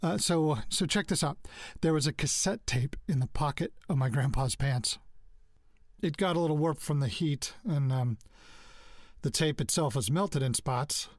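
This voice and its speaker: An earnest, informal sleuth, played by a man, with a slightly high voice, recorded close and dry. He speaks haltingly and confidingly, as if leaving an audio diary for a friend. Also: no room sound, close to an everyday voice. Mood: earnest, intrigued. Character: earnest amateur sleuth dictating an audio message. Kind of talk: casual monologue, audio drama.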